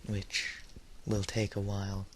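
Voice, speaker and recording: A man's voice: lowish voice, completely flat delivery, slow. A tired, slightly unwell young man rambling casually in a solo audio log, with dry, self-deprecating humour. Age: teenager or young adult, high-school student. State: tired, under the weather. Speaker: YouTuber giving an audio log. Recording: noisy home recording with background hiss.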